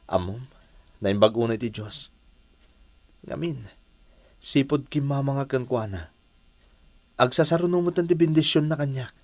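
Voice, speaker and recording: A man with an Ilocano accent playing an earnest, repentant family man who pleads gently in a radio drama. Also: medium pitch, unhurried, pauses often, no room sound. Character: repentant, earnest adult man wooing his estranged family. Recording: dry studio sound, music behind.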